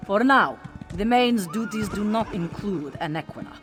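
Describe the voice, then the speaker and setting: A woman performs a female cat-folk envoy from a fantasy video game. She is formal and dutiful, with measured phrasing and expressive movement in her pitch, over faint music. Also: close to an everyday voice. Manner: formal, refers to herself in third person. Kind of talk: video game character dialogue.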